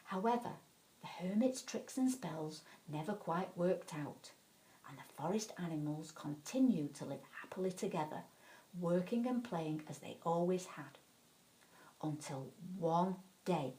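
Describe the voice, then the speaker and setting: Woman telling an expressive children's bedtime story. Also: lowish voice, a little slow, rough recording. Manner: expressive, dramatic storytelling with emphasis.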